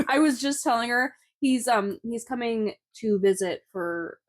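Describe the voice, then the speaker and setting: Young woman co-host, high voice, playful and laughing. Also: animated, a little slow. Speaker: podcast co-host. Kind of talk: casual chat.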